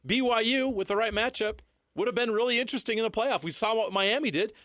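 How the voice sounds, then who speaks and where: A man's voice: high voice, fast, pushed and bright, no room sound, studio quality. An American man co-hosting sports radio, giving his opinions in casual talk.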